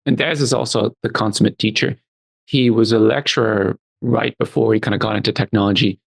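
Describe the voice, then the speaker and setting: A man's voice: on the low side, steady tone, brisk, gravelly, husky. A man with an Irish accent speaking as a guest in a casual interview, earnest and repeating phrases for emphasis. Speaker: interview guest, tech company founder and CEO.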